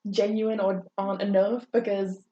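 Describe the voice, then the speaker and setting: A woman's voice: mid-range voice, level tone, moderate pace, dark tone. A young woman co-hosting a podcast, telling her own story in a candid, rambling way with many hesitations. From England. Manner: earnest, rambling, frequent hesitations. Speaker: podcast co-host. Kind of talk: candid personal chat. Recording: roomy, distant, dull with faint hiss.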